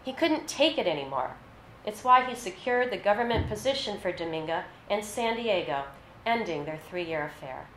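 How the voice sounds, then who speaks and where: Woman reading a short story out loud at a live event, measured delivery, echoey room, bit of noise behind. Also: medium pitch, lively intonation. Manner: measured, scripted narration with read-out dialogue. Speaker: author reading short fiction aloud. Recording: roomy echo, faint background noise.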